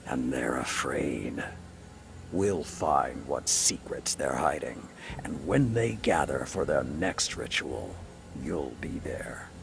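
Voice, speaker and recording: An actor playing a stern old war commander in a video game, with a gravelly voice and a grave, authoritative delivery. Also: male voice, very deep voice, animated, slow, noisy background, full character voice. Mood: solemn resolve. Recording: loud music bed behind voice.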